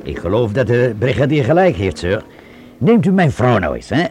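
A man's voice: mid-range voice, sing-song, moderate pace, darkish tone, close to an everyday voice. An actor playing a talkative junior policeman in a Dutch radio drama, colloquial and deferential to his superiors. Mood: grumbling. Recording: radio play, faint outdoor street noise.

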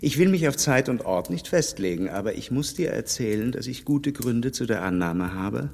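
An actor playing an outspoken gentleman in a radio play, talking frankly and with reproach. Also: medium pitch, normal speed, gravelly, long reverb tail. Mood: confiding. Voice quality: frank, lively, reproving manner. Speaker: Mister Knightley.

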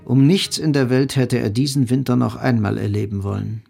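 A man's voice: medium pitch, fairly even intonation, brisk, vocal fry, dark tone, slightly rough, faint music behind. A man narrating literary fiction as part of an audio drama.

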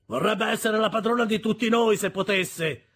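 A man's voice: high voice, normal speed, fairly bright tone, pitched above the performer's own voice. A man voicing a brusque, surly hired hand at a forge, sneering and growling defiantly in an audiobook. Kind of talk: audiobook character voice.

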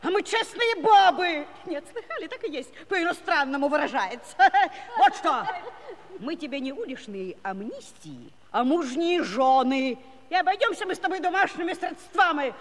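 An actress playing a brash, scornful Cossack village wife in a musical comedy, scolding and mocking in folksy speech. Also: female voice, high voice, expressive, normal speed, big-room sound. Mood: mocking, indignant. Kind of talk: theatrical musical comedy acting.

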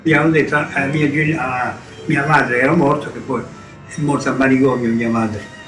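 A man's voice: mid-range voice, fairly even intonation, moderate pace, a bit bright. An older man with a Roman accent giving rambling testimony as a deportation survivor. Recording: echoing, distant voice with music.

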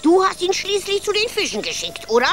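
A woman's voice: very high voice, big pitch swings, a little fast, music in the background, full character voice. A woman playing a sly, scornful rat lieutenant in a children's radio play, calculating and insinuating.

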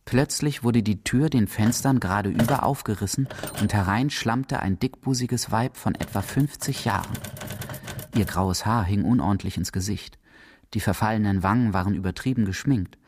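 A man narrating a literary radio drama in a measured, precise and theatrical manner. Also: on the low side, background noise.

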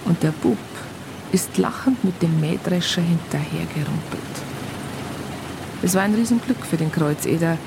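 A woman's voice: lowish voice, normal speed, gravelly, background noise. A woman playing a police colleague who recounts a case in a matter-of-fact, colloquial way with a Bavarian accent. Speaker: narrator. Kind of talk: first-person conversational narration in radio crime drama.

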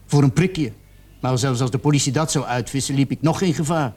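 A man's voice: medium pitch, brisk, a little mellow, slightly rough, natural voice. Man acting a cornered young murder suspect confessing. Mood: defensive, explaining. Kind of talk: radio drama acting.

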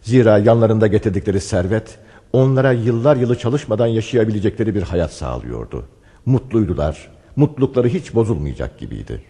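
A man narrating a radio drama in a measured storytelling manner, from a script. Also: on the low side, very animated, mellow, husky, in a large hall.

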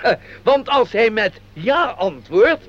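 A man's voice: high-pitched, big pitch swings, slow pace, a bit bright, heavily acted. A man acting a fussy, jolly outing organiser in a Dutch radio play, talking like a formal announcer with chuckles. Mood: pompous, teasing. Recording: dull radio play recording, busy group behind.

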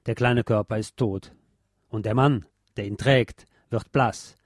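A man giving a measured, solemn scripted reading of literary prose as narrator, articulating clearly. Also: on the low side, steady tone, no room sound, clean studio sound. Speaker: narrator and reader.

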